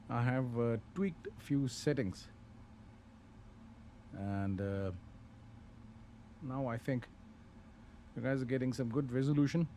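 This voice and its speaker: A man casually talking through a live flight simulator session, relaxed and unhurried, thinking aloud with pauses. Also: medium pitch, slight background noise. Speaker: flight sim streamer. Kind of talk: casual live-stream commentary.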